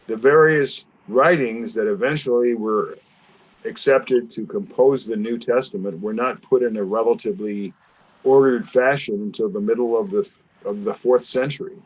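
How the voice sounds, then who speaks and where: A man's voice: medium pitch, poor audio. A man hosting a webinar discussion over a video call, speaking formally and precisely in a lecturing way. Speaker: webinar host.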